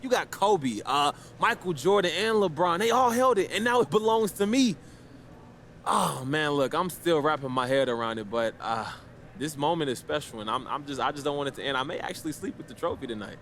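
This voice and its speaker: An actor playing a confident young basketball star giving slangy, casual press conference answers in a video game. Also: male voice, a little high, animated, fairly quick, fairly bright tone. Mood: thrilled, grateful. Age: young adult. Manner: cocky, slangy, laid-back.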